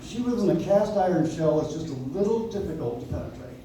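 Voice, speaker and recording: A man's voice: on the high side, lively intonation, a little fast, lightly acted. A man playing an earnest, easygoing young lawyer with wry humour in a live radio play. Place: coffeehouse stage, picked up from the audience. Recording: echoey faintly noisy room, distant microphone.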